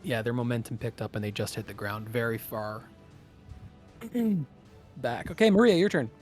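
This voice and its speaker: A man running a tabletop game as game master, narrating the action casually and thinking aloud in halting phrases. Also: medium pitch, big pitch swings, faint music behind.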